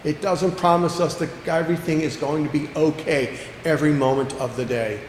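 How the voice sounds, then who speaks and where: A man preaching a sermon as pastor, earnest, slowing down to stress key phrases and repeating lines for emphasis. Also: a little high, steady tone. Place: church sanctuary. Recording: echoey church hall with long reverb, faint background noise.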